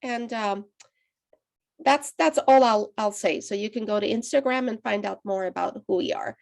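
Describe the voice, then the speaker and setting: A woman college counselor hosting and opening a webinar, casual and halting with many fillers. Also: medium pitch, gravelly, darkish tone. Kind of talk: webinar welcome.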